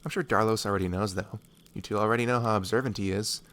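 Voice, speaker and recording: A man voicing a laid-back, blunt but kindly woodsman, casual and unhurried, in a fantasy audio roleplay. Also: fairly low pitch, steady tone, fairly bright tone, close to an everyday voice. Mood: matter-of-fact. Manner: casual, unhurried, matter-of-fact.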